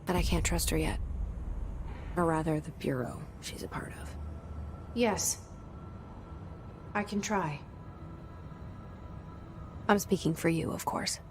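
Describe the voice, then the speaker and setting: An actress voicing a guarded, determined young woman who has become an agency director, with a hushed, inward inner voice and blunt speech aloud. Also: low voice, slow pace, long pauses. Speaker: video game protagonist. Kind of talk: cutscene dialogue and inner monologue. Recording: game audio with music and noise.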